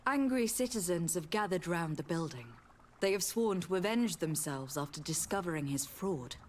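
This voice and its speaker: An actress playing a young noblewoman envoy in a video game, speaking in a formal, measured, firm way. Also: on the low side, animated, slight background noise, natural voice. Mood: composed. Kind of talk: scripted game dialogue. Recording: music behind the voice.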